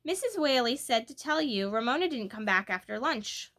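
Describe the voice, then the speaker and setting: Woman playing a bright-voiced, casual young schoolboy. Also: a little high, lively intonation, normal speed. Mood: urgent. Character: young schoolboy classmate, casual, gruffly friendly rival.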